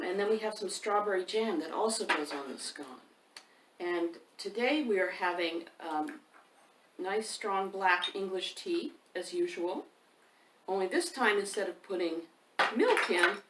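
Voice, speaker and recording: A woman's voice: lowish voice, expressive, measured pace, a bit bright. A woman hosting a storytime for children, speaking unhurriedly and directly to young listeners as she chats and reads a picture book expressively. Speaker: children's author and storytime host. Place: indoors by a fireplace, roomy sound. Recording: roomy, distant microphone with faint background noise.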